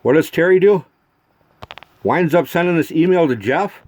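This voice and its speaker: Exasperated, higher-pitched man on a rant. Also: moderate pace, a little mellow. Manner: exasperated, profane, repeats himself with rhetorical questions. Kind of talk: accusatory rant to camera addressing an absent person.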